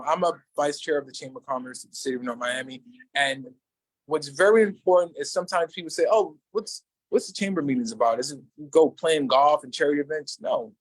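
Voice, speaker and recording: A man's voice: dry recording, poor audio. A man running for mayor, answering interview questions in an earnest, repetitive way, often restarting his sentences, speaking from a small shop over a livestream. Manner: earnest, repetitive, salesman-like pitch with many restarts. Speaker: mayoral candidate. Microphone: livestream video call.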